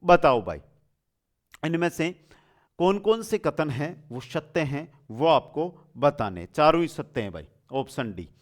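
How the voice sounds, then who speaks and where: Animated, high-pitched male coaching teacher. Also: a little slow. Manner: rhetorical questions, repeats answers, familiar address to students. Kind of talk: question-and-answer history lesson.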